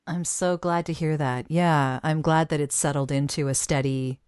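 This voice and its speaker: A woman's voice: deep voice, steady tone, normal speed, darkish tone, smooth, dry recording. A woman podcast host and voice actor talking conversationally in a casual interview from her home studio, often with a laugh.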